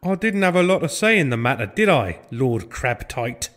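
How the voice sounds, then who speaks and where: An actor playing a plain-spoken, bemused commoner with a casual manner in a comic audio drama. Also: male voice, mid-range voice, big pitch swings, normal speed, a bit bright.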